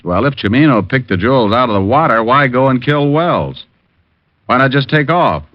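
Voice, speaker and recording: An actor playing a wry, unflappable private detective with a dry, clipped, wisecracking delivery in an old radio drama. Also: male voice, mid-range voice, expressive, moderate pace, no room sound, lightly acted. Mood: explaining, sceptical. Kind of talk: 1940s-50s radio detective acting. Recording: dull vintage radio recording.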